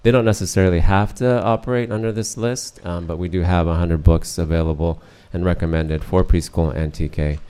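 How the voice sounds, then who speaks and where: Low smooth monotone guy presenting to a school board, lots of uhs. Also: fairly quick, hardly any pauses. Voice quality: monotone, many uh fillers.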